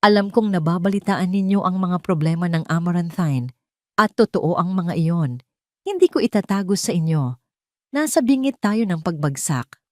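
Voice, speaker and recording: A woman's voice: lowish voice, expressive, normal speed, no echo, studio quality, close to an everyday voice. A woman playing a firm, rousing woman boss. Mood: grave, candid. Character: determined, brave woman boss rallying her fearful workers.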